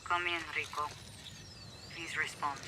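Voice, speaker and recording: A woman's voice: low voice, fairly even intonation, a little slow, heavy background noise. An actress playing an earnest, worried young rebel woman in a video game, speaking in a pleading way. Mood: anxious.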